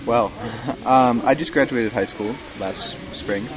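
A man's voice: medium pitch, slow, low-quality recording. A young man in his late teens from Minnesota, chatting casually and explaining things thoughtfully, sometimes restarting his sentences. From Minnesota, USA. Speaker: traveler in conversation. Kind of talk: casual chat. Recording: busy street crowd behind.

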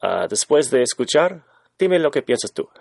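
A man hosting a travel podcast, speaking casual second-language Spanish with many pauses and searches for words. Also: mid-range voice, big pitch swings, creaky, darkish tone, slight background noise. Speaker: podcast host. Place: living in China.

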